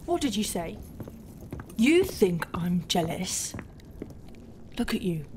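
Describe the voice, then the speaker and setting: A woman's voice: mid-range voice, big pitch swings, slow pace. A woman playing a haughty, bitter pirate captain in an ASMR roleplay, scornful and often dropping into whispers. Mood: scornful, cold. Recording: clear ambient noise behind the voice.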